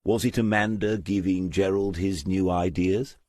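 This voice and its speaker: A man's voice: deep voice, fairly even intonation, normal speed, a little mellow, no echo, studio quality, pitched above the performer's own voice. A man voicing a shrewd older male detective in an audiobook, questioning courteously and casually. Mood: careful.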